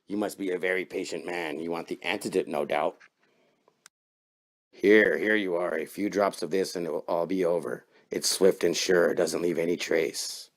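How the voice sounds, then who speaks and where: A man playing a shady, coldly mercenary potion seller in a horror comic drama, measured and sly. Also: sits low, fairly even intonation. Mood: knowing, sly.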